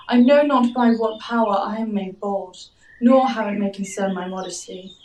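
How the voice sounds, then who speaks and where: An actress playing a young Athenian maiden, respectful yet defiant, pleading earnestly in formal Shakespearean verse. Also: female voice, mid-range voice, darkish tone, distant mic, close to an everyday voice, low-quality recording. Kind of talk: Shakespearean verse audio drama acting.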